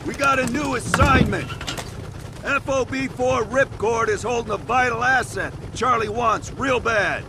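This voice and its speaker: An actor playing a gruff, profane soldier in a military video game, blunt and wry as he addresses his squad. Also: extremely high pitch, a little slow, noisy background. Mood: wry, matter-of-fact.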